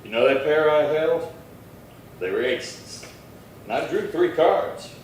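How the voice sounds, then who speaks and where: A man's voice: fairly high pitch, expressive. Man playing a laconic western marshal, echoey room. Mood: dry, wry. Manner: unhurried, dry, laconic. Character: calm, laconic Old West marshal. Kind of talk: old-time western radio acting. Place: live theatre stage performance. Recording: very roomy, distant stage pickup, faint noise.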